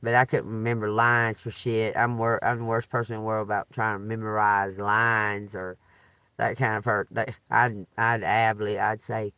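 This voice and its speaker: Man, live stream host, loud laughing storyteller, bright tense tone. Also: lowish voice, little pitch movement, normal speed, clean tone, no room sound. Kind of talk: rambling casual live stream chat.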